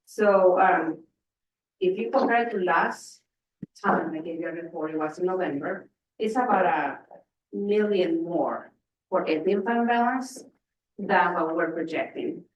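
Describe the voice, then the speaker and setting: A woman with a low voice presenting a finance report at a school board meeting, explaining carefully with many fillers. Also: measured pace, lo-fi sound. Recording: echoey room, distant mic.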